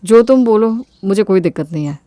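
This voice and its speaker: A woman's voice: medium pitch, lively intonation, fairly quick, dark tone, dry recording, lightly acted. A woman voicing a mild, accommodating young husband and devoted son in an audio story, with a reassuring manner. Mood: mild, conciliatory. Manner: conciliatory, reassuring.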